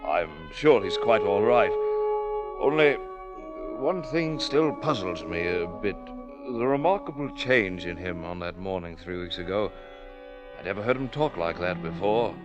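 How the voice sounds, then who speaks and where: An actor playing a composed office gentleman in a 1940s radio drama, speaking in a formal, measured way. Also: on the low side, animated. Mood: composed, puzzled. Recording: muffled 1940s radio with music.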